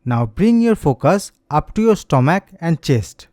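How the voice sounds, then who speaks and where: Male meditation instructor, soothing, mellow tone, dry close sound, slow scripted guidance, melodic intonation. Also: a little high, very animated, no echo. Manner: gentle, soothing, unhurried instructions.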